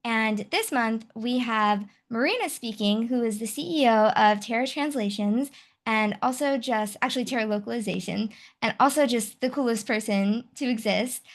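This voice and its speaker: A woman's voice: fairly high pitch, moderate pace. Upbeat woman host introducing a speaker. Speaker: event host.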